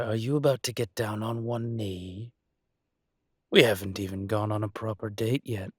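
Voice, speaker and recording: Guy doing a smooth-talking mafia dragon boss, roleplay audio, lowish husky voice, really dry close sound. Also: no room sound. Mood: teasing. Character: suave, teasing male dragon mafia boss. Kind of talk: scripted romantic audio roleplay.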